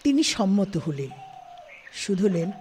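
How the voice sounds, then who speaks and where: Expressive woman narrating a literary story. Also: mid-range voice, slow pace. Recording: studio, faint background noise.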